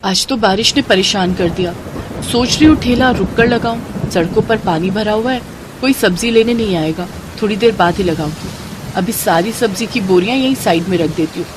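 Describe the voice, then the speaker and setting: A woman's voice: mid-range voice, normal speed, background noise, lightly acted. A woman voicing a kind, hardworking woman vegetable seller in a moral story, respectful and eager to help. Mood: practical. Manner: respectful, reassuring, helpful. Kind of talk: Hindi moral story character dialogue.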